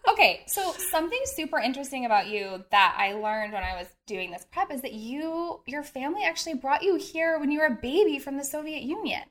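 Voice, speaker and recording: A woman's voice: high-pitched, lively intonation, brisk, few pauses. A woman hosting an interview, telling a story about the guest with enthusiasm. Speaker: interview host. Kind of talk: casual interview chat.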